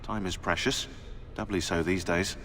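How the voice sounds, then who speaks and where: An actor playing a sarcastic, condescending archivist in a game cutscene. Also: male voice, deep voice, fairly even intonation, normal speed, fairly bright tone, long reverb tail, slight background noise. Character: sarcastic snobbish man, dry and condescending. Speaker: archivist and tactical support.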